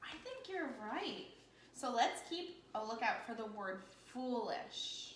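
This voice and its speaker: A woman reading a children's story aloud as a teacher, in an expressive, encouraging manner with questions for the listener. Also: on the high side, takes it slowly. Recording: echoey room with faint noise.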